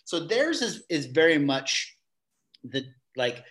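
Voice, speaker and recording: A man's voice: fairly high pitch, sing-song, slow, fairly bright tone. Rambling middle-aged man explaining his theater work. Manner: rambling, restarts often, laughs mid-sentence. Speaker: guest, theater company facilitator. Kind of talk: casual interview talk.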